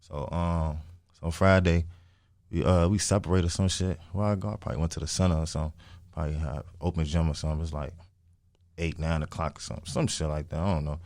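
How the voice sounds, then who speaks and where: Young man, early twenties. Very low voice, dry close sound, slangy and emphatic interview storytelling. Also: a little mellow, no room sound. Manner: emphatic, repeats phrases, frequent swearing. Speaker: interview guest, music artist.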